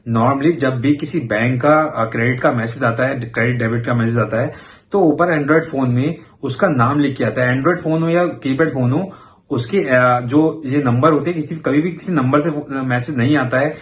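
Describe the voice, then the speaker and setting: A man's voice: medium pitch, moderate pace. A man hosting an informal explainer for viewers, talking conversationally and mixing in English words. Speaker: host of a banking awareness video channel.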